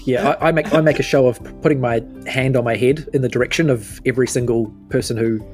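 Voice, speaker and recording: Very low-voiced woman at a gaming table. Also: quick pace, a little mellow, faint music behind. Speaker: tabletop game player. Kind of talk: casual game-table chat.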